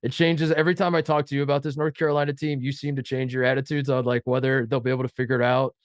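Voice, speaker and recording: A man's voice: medium pitch, little pitch movement, racing, no room sound. A nasal, congested man talks through a casual sports podcast, wandering from point to point and poking fun at himself. His voice sits mid-range, recorded close and dry in a studio with no room sound. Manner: rambling, joking, self-mocking. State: head cold, nasal. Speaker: podcast co-host.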